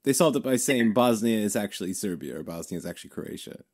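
A man's voice: lowish voice, animated, fast talker, dry recording, clean studio sound. A man co-hosting a podcast, chatting casually and making wry jokes. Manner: wry, joking. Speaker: podcast co-host. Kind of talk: casual chat.

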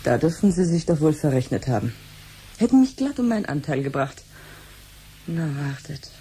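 An actress playing a shrewd middle-aged doctor and aunt in a 1970s radio play, dry and precise. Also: female voice, low-pitched, big pitch swings, moderate pace. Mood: cold, resentful. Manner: dry, gently mocking, precise. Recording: faintly noisy 1970s radio recording.

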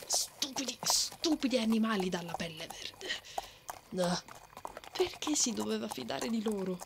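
A woman playing a brave, hot-tempered, bossy female knight in an ASMR roleplay, often breathy and muttering threats to herself. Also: fairly low pitch, background noise. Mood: angry, worried. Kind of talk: ASMR roleplay, acted monologue. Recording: noticeable outdoor ambience effects behind.

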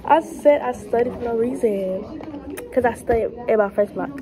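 A woman's voice: on the high side, moderate pace, crowd noise. A young woman with a stuffed-up nose, chatting and joking as she vlogs her school day into a phone held at arm's length. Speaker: vlogger. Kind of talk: casual school vlog. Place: classroom.

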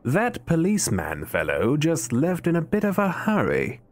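An actor playing a polite older butler in an audio drama, formal and courteous in manner. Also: male voice, a little high, wildly swooping pitch, normal speed.

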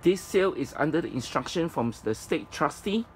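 A man giving an informal, halting item-by-item walkthrough as a presenter at a storage unit. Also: animated. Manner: halting, piecemeal delivery. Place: storage facility, at open unit. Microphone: handheld phone camera, speaker behind it. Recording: noisy handheld recording, traffic-like rumble.

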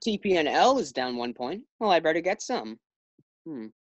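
A woman's voice: deep voice, big pitch swings, normal speed, dry recording, highs cut off. A woman playing a distracted, affable husband with hesitant delivery in an old-time radio sitcom. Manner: hesitant, absent-minded. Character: distracted, affable husband reading his morning paper.

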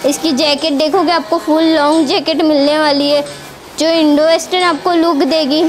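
A woman's voice: high-pitched, little pitch movement, normal speed, slight background noise. A young woman presenting outfits in a shop, with upbeat and repetitive sales patter, recorded on a lapel mic. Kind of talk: product showcase sales pitch. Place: indoor shop with green wall.

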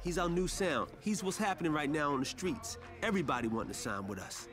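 Proud small-time label boss in a game, hesitant, lively pitch, music pumping. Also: male voice, quick pace. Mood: defensive. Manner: placating, hesitant street talk. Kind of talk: video game cutscene acting. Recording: game audio with loud hip hop music.

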